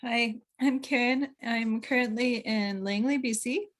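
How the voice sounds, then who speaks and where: A woman from West Asia introduces herself on an online panel over a video call. She talks slowly, her phrases trailing off between fillers. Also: fairly high pitch.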